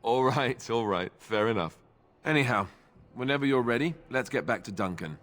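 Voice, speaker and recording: An actor playing a wry, sarcastic young warrior recruit and former templar in a fantasy video game. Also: male voice, medium pitch, animated, moderate pace, fairly bright tone, lightly acted. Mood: friendly, slightly awkward. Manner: sarcastic, glib.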